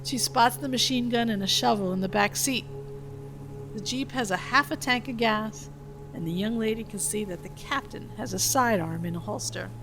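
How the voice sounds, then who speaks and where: A woman's voice: on the high side, moderate pace, music in the background, poor audio. A woman giving scripted narration, reading out stage directions between lines of dialogue.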